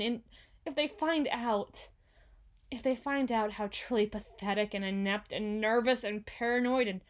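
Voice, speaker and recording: A woman's voice: medium pitch, natural voice. A woman playing a proud but insecure company boss in an ASMR roleplay, speaking formally and wordily with flustered stammers. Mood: anxious, bitter. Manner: formal, wordy, haughty yet flustered, stammering. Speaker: voice actor.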